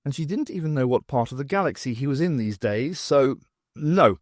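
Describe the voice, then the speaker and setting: Expressive male audiobook narrator, very dry sound. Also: medium pitch, moderate pace, no room sound. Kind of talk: scripted narration of a novel.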